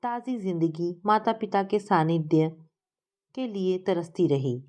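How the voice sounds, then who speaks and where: A woman's voice: fairly low pitch, a little slow, darkish tone. A woman narrating a scripted story as a storyteller.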